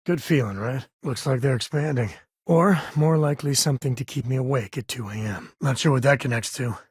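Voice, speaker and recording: A man voicing a rugged, good-hearted space explorer and father in a video game, with a husky rasp and a folksy, earnest way of talking. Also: medium pitch, brisk, no room sound, clean studio sound, lightly acted. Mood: wry. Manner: folksy, colloquial, earnest delivery.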